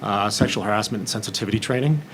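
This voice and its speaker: Low-voiced man, informal panel speaker. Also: very fast, fairly bright tone, slight background noise. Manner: informal, plain-spoken, explanatory. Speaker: film and TV producer on a panel. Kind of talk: candid roundtable discussion.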